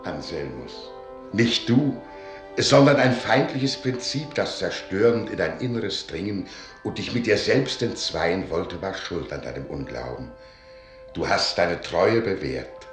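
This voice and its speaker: A man's voice: lively intonation, unhurried, far from the mic. A man playing a solemn, commanding elemental spirit in a radio play, proclaiming in stately tones. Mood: benevolent, grave. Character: solemn, commanding salamander spirit, old archivist. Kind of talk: German radio play acting. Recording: booming echo from afar, faint music behind.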